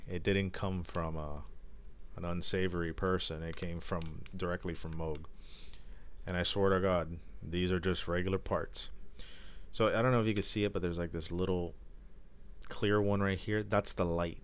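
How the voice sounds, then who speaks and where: Low, creaky-voiced man chatting casually. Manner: rambling, loose, informal phrasing. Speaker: live stream host reviewing music gear. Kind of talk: casual unscripted unboxing demo talk.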